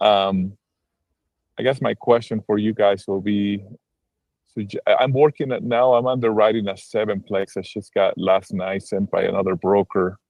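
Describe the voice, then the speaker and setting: A lowish-voiced man from Argentina, speaking on a video call in a dry, close sound. He talks about his work as a broker in a halting way, full of restarts and fillers. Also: flat delivery, darkish tone, dry recording, rough recording. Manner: hesitant, many restarts and fillers. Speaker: real estate broker and investor. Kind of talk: casual livestream call-in chat.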